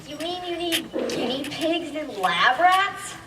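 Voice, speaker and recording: A woman's voice: high-pitched, sing-song, slow pace, background noise, exaggerated voice. A female player as a cheeky, joking teenage student in an amateur stage radio play. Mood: teasing. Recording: echoey stage, distant mic, music behind.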